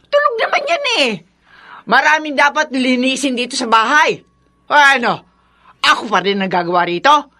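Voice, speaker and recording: Shrill, nagging mother-in-law. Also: sing-song, normal speed, exaggerated voice. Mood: angry, scolding. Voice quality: sharp, piercing. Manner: scolding, bossy. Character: harsh, nagging older mother-in-law, domineering and scornful. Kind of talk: Filipino radio drama acting.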